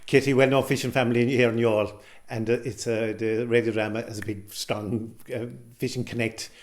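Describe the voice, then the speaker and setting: A man with a Cork accent conducts an informal interview. His pitch is average, and his questions come out halting and wordy, often rephrased as he goes. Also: flat delivery. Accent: Irish, County Cork.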